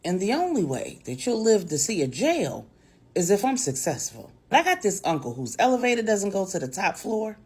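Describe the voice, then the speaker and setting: A woman storyteller voicing a calm, devout, quietly steely woman who chides gently and speaks with assurance. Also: medium pitch, moderate pace, husky. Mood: earnest, persuasive.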